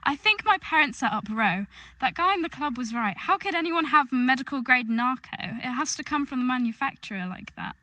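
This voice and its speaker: A woman's voice: high voice, animated, fairly quick, pushed and bright, no echo. A woman playing a defiant, earnest eighteen-year-old rich girl in an audio drama. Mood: urgent, earnest.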